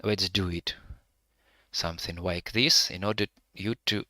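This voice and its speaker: A man with a Russian-Ukrainian accent guiding a live virtual tour, speaking halting English with many pauses to find his words. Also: sits low, fairly even intonation, bright, forceful tone, dry recording, studio quality. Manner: hesitant, pausing to find words; halting non-native English with frequent fillers.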